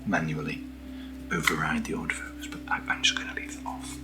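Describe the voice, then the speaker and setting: A British man speaking in a soft, breathy near-whisper as he hosts a casual ASMR video, gentle and unhurried, close to the microphone. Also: rumbling low, little pitch movement, over loud music. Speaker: ASMR video host reviewing camera gear. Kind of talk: soft-spoken ASMR, casual unboxing chat.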